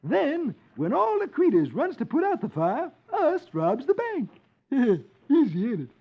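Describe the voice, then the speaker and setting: A man's voice: very high-pitched, wildly swooping pitch, gravelly, pitched above the performer's own voice, full character voice. A man voicing a scheming, gleeful cartoon weasel with a rustic drawl, in a 1950s radio comic-strip story. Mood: sly, gleeful. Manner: folksy dialect, drawn-out words. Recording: muffled old-time radio recording.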